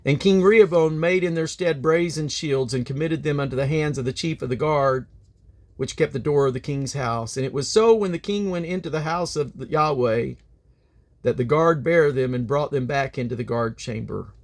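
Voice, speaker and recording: A man reading the Bible aloud as a reader, phrasing deliberately and now and then stumbling over names. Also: fairly high pitch.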